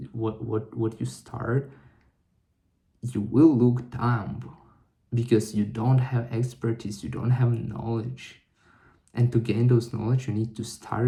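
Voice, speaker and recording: A young man talking to camera in a reflective vlog, thinking aloud in a halting way with many restarts. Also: medium pitch, creaky, warm tone. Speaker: vlogger. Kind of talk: reflective solo vlog monologue.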